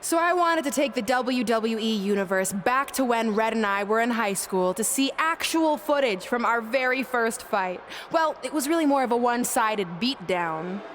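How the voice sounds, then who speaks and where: A woman's voice: a little high, animated, normal speed, hardly any pauses, slight background noise, natural voice. A woman playing a cocky, taunting wrestling star in a video game, boastful and mocking. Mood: smug, condescending. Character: cocky, taunting female wrestling star and self-styled hero.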